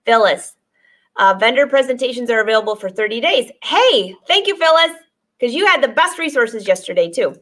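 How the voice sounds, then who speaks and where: Woman in her forties, sewing teacher, live-stream chat, fairly high voice, laughs often, studio webcam. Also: sing-song, fairly quick, a bit bright. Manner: chatty, laughs often, reads viewer comments aloud. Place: sewing studio with dress forms, indoors.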